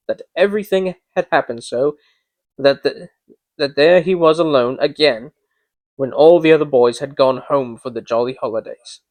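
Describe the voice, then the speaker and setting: A man gives a dramatic reading of a classic tale. His voice sits a little high for a man. The recording is close, with very little room sound. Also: no echo. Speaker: amateur reader of a classic story. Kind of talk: dramatic storybook narration.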